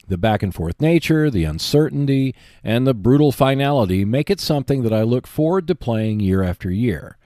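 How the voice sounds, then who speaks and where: A man presents a prepared podcast monologue with very animated intonation. His delivery is measured and enunciated like a presenter's, at a medium pitch. The sound is dry, with no room echo. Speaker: podcast host. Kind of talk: prepared podcast monologue about board games.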